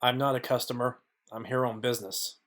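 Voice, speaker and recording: A man's voice: medium pitch, level tone, a little fast, a bit bright, natural voice. Man acting a hard-boiled noir detective. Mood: businesslike probing. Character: hard-boiled male noir private detective, wry and businesslike. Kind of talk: noir audio play narration and dialogue.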